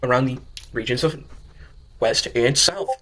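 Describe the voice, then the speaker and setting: A man's voice: medium pitch, unhurried, fairly bright tone. Guy narrating his fanfic audio drama, kinda scuffed, keeps fumbling words and fixing them. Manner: stumbles over lines and corrects himself. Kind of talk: amateur reading of fan fiction narration.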